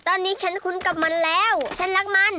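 A woman's voice: very high-pitched, level tone, fast talker, slight background noise, heavily acted. A woman voicing a small, hesitant but plucky boy superhero in a children's cartoon dub, earnest and anxious. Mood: determined. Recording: loud music behind the voice.

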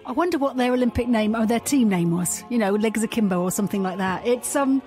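A woman's voice: a little high, fast talker, a little mellow. A chatty, effusive woman bantering casually as a panellist on a radio show. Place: radio studio. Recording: music bed under the voice.

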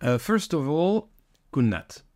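A man's voice: a little high, sing-song, slow pace, darkish tone, dry recording, clean studio sound. A middle-aged man hosting a podcast, putting questions to his guest in a conversational way. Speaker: podcast host. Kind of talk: conversational interviewing. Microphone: studio microphone.

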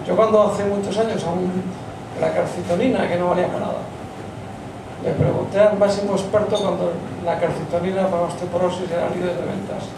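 A man's voice: sits high, fairly even intonation, a little fast. A man in his fifties with a Spanish accent speaking up from the audience, blunt and emphatic, swearing now and then. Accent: Spain. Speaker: audience member, doctor. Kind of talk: impassioned audience comment. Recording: echoey pub from far off, noisy.

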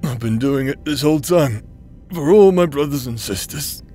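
A man acting a drunk, self-loathing, protective villain with a halting, foul-mouthed, emotional delivery in an audio roleplay. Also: medium pitch, mellow, faint music behind, close to an everyday voice. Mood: bitter, loving.

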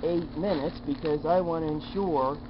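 A man's voice: on the high side, fairly even intonation, moderate pace. A man narrating a casual experiment vlog as its host, in a jokey, mock-affectionate way. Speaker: video show host. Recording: dull lo-fi camera audio, background noise.

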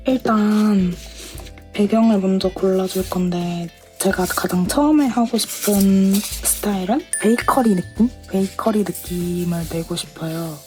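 Woman, lower voice, a bit rough from a cold, easygoing craft vlog chatter over music. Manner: chatty, thinks aloud, asks herself questions, soft asides. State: has a cold, voice a little rough. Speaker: stationery craft vlogger. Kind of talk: casual narration while crafting.

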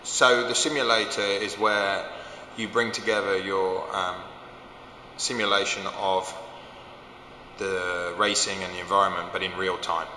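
Male exec, 40s, low flat delivery, crisp edge, roomy hall echo, faint hum. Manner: measured, explanatory, with fillers. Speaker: company chief executive. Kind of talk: corporate video interview. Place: large indoor simulator hall.

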